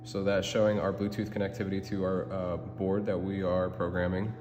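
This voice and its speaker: Low-voiced young man in a hollow room. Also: flat delivery, fairly quick, muffled recording. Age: young adult. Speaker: student project presenter. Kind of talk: prepared presentation and product demonstration. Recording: hollow hall reverb, background hum.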